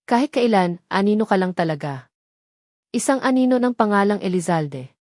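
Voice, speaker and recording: A woman's voice: mid-range voice, expressive, normal speed, darkish tone, no room sound, studio quality, close to an everyday voice. A Filipino-accented woman voicing a desperate, defiant young male heir in a narrated story, intense and urgent. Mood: mocking.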